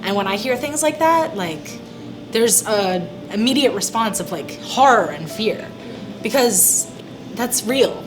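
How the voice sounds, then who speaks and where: A woman's voice: medium pitch, expressive, unhurried, poor audio. Casual young woman with music behind. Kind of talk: casual, conversational discussion.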